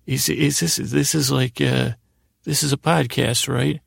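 A middle-aged man with a gravelly, creaky voice hosting a bedtime podcast, meandering and hesitant in a goofy way, often trailing off and restarting. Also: mid-range voice, fairly even intonation, unhurried, dry recording, clean studio sound. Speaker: podcast host. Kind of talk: rambling bedtime sleep podcast monologue.

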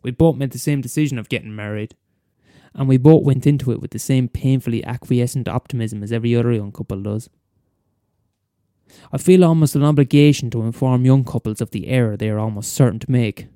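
A man's voice: medium pitch, brisk, warm tone, dry recording, clean studio sound, natural voice. A man playing a bitter, weary middle-aged schoolteacher in a wry, wordy confessional story monologue. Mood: bleak, disillusioned. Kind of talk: first-person dramatic monologue.

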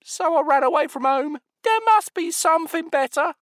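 A man's voice: very high voice, expressive, normal speed, a bit bright, no room sound, clean studio sound, voice pushed up. A man voicing a cheeky, truculent small boy in a storybook reading. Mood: indignant.

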